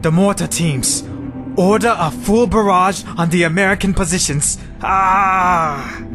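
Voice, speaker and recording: An actor playing a wounded, commanding army major in an audio drama, strained and in pain. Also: male voice, sits high, measured pace, over music.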